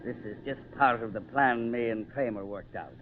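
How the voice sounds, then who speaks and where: An actor playing a villainous ship's first mate, gruff, bossy and taunting, in old time radio adventure style. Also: male voice, mid-range voice, moderate pace, a little hiss or hum, lightly acted. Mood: gloating. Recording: tinny narrow-band old radio recording.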